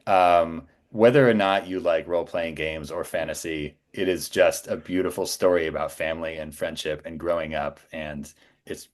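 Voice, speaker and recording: A man's voice: extremely low pitch, steady tone, moderate pace. A man chatting casually as a guest on a podcast, talking in an enthusiastic, emphatic storytelling way. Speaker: guest voice actor and audiobook narrator.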